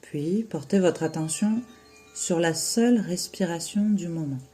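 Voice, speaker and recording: A yoga teacher leads a guided relaxation in a soft-edged, mellow voice. The delivery is slow and soothing, with short phrases set apart by long pauses. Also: medium pitch, faint music behind.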